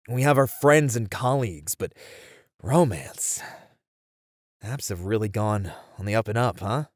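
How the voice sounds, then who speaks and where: A man playing a driven detective who thinks aloud in halting phrases, in a dramatic ASMR roleplay. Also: medium pitch, dry recording, studio quality. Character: driven male detective piecing together a serial killer case. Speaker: voice actor in a roleplay. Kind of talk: ASMR roleplay, dramatic one-sided dialogue.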